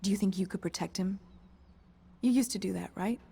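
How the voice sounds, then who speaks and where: A woman's voice: mid-range voice, fairly quick, creaky, dark tone, slightly rough. A woman playing an earnest, worried young servant in a video game, speaking politely and hesitantly. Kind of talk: video game character dialogue.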